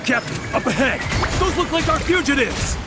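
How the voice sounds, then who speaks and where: A man's voice: extremely high pitch, very animated, moderate pace, loud music behind the voice. A man voicing an alert minor guard character in an anime-style video game. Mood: alarmed.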